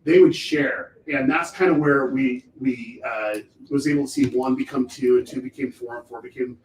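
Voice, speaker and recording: A man hosting a casual livestream chat on a film set, excited and chatty, with a loud voice he tries to keep down. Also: medium pitch, normal speed. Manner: excited, chatty, keeps voice down for filming. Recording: echoey, distant mic with faint background noise.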